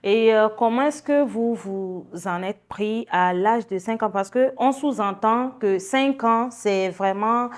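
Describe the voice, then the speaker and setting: A woman's voice: a little high, takes it slowly, few pauses. A woman hosting an interview, asking friendly, chatty questions with many fillers. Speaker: show host.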